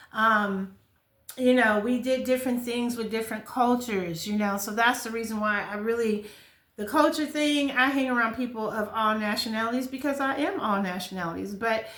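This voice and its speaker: An American woman in her fifties speaks in a somewhat high voice, recorded in a noticeably echoey space. She shares stories in a candid, conversational way, often repeating a phrase for emphasis. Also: a bit bright. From California, United States. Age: 50s. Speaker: vlogger sharing life stories. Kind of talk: casual personal storytelling to camera. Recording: roomy, echoing sound.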